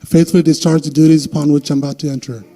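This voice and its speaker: Male official, ceremonial and formal, slightly high pitch, very soft dark tone, measured phrasing for oath-giving. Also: flat delivery. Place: indoor city council meeting.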